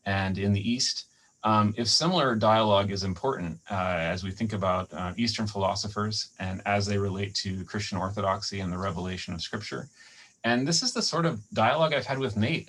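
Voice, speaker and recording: Male deacon as seminar host, lower register, measured and thoughtful introduction. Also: fairly bright tone, poor audio.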